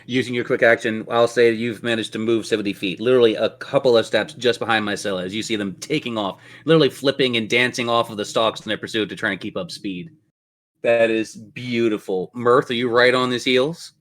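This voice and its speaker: A man's voice: medium pitch, fairly even intonation, fairly quick, fairly bright tone. A man running a tabletop role-playing game as game master, telling the story in a descriptive, theatrical way.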